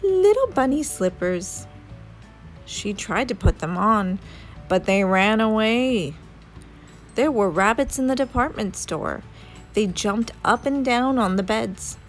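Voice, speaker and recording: A woman reading a children's story aloud as an expressive storyteller. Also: medium pitch, a little slow, soft background music.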